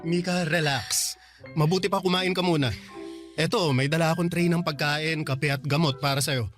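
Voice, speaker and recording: An actor playing a calm young man in a Filipino radio drama, patiently explaining and defending himself. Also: a little high, moderate pace, over music, close to an everyday voice. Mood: calm, soothing. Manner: soothing, explanatory. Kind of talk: Tagalog radio drama acting.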